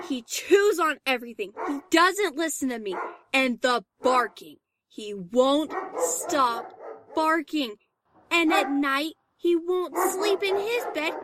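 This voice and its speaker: A woman playing an exasperated, overwhelmed young dog owner in a scripted audio story, expressive and whiny when upset. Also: very high-pitched, very noisy, exaggerated voice.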